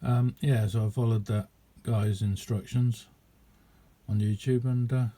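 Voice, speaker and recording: A man giving a casual, rambling hands-on demonstration as a radio hobbyist, chatty and hesitant in an unhurried way. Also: medium pitch, steady tone, warm tone.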